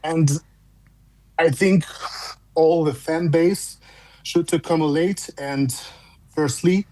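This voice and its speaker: A man with a Russian accent, calling in to a fan show, speaking English as a second language in halting phrases with frequent restarts. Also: on the high side, mellow, rough recording. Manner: halting, repeats words and restarts while searching for English. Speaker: caller. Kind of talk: phone-in fan chat.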